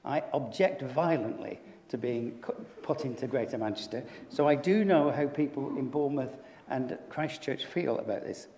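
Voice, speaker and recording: A man's voice: mid-range voice, moderate pace, hardly any pauses, warm tone, a little hiss or hum. An older man, a councillor speaking in a council debate, blunt and full of hesitant fillers and restarts. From England. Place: council chamber. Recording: echoey chamber with long reverb.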